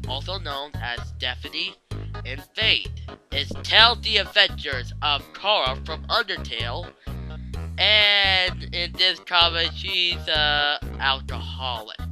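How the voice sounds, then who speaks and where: A man's voice: a little high, sharp tone. A man hosting an online video, giving casual fan-theory commentary in a jokey, sweary way.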